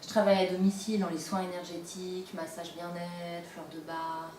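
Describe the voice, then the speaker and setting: A woman in her thirties with a soft-edged, mellow tone gives an interview testimonial. The room echoes and the microphone sits at a distance. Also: on the low side, monotone, moderate pace. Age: 30s. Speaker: volunteer, former nurse. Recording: roomy, distant mic, faint noise.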